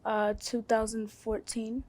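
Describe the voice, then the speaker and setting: A teenage girl answers casually, using lots of "um" and "like," in a flat, level voice. The sound is dry and close. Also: a little high, slow pace, dry recording. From Dominican Republic. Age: teens. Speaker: student interviewee. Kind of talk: casual, filler-heavy answers. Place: school studio.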